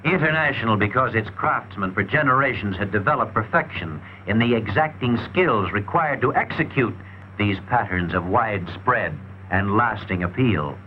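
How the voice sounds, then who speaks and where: Male narrator for a 1940s sales training film: emphatic, lively intonation, dull lo-fi period sound. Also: on the low side, normal speed, slight background noise. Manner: emphatic, rhetorical, salesmanlike delivery.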